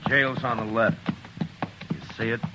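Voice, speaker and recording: An actor playing a tough frontier marshal in an old radio drama, terse and commanding, also narrating. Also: male voice, medium pitch, little pitch movement, unhurried, natural voice. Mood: stern. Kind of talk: 1950s radio drama acting. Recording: noisy old radio recording.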